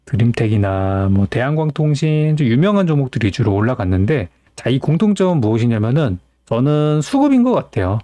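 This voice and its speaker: Male finance show host, informal and opinionated, very varied intonation, relaxed timbre, rhetorical questions. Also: medium pitch, no echo. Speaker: stock market analyst hosting a daily show. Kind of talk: informal market commentary to viewers.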